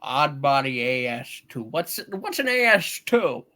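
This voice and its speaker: A man's voice: mid-range voice, very animated, measured pace, pushed and bright. A man playing a troubled, skeptical everyman in a hammed-up radio play reading, hesitant and folksy with stammered starts. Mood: wary, incredulous. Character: troubled, skeptical, despairing everyman in old film.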